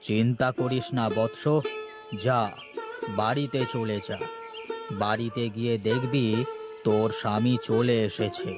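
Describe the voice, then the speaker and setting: A man's voice: medium pitch, little pitch movement, a little slow, long pauses, close to an everyday voice. A man voicing a stern, haughty tantric holy man in a cartoon, making curt, solemn pronouncements. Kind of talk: cartoon character dialogue. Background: music.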